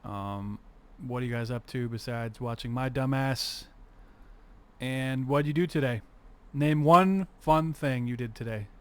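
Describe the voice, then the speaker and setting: A man's voice: mid-range voice, unhurried, a bit bright. Young male streamer, stuffy nose, cusses freely, narrates to himself while producing music. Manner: swears freely, mutters to himself while working. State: congested, sniffling. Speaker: streamer making a music remix. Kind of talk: casual livestream chat.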